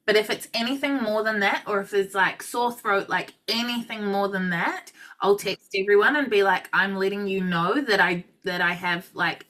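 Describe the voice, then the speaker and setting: A woman's voice: medium pitch, normal speed, few pauses, a bit bright. A woman hosting a casual podcast chat as a nail educator, explaining things in a chatty way with lots of fillers.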